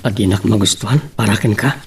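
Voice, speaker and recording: A man's voice: on the low side, quick pace, breathy edge, distant mic. A man with an Ilocano accent playing an earnest young suitor in a radio drama. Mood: doubtful, hurt.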